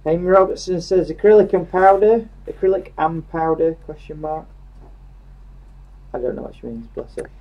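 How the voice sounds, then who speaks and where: A man's voice: a little high, normal speed. British guy reading out chat on a livestream, offhand n teasing, bit of a muffled lo-fi sound. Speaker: co-host reading out viewer comments. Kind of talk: casual live stream chat. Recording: dull lo-fi sound.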